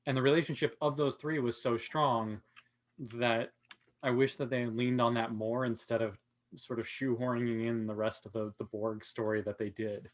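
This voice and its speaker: A man's voice: mid-range voice, flat delivery, normal speed. A man talking casually as a panelist in a fan discussion of a TV series. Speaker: podcast panelist.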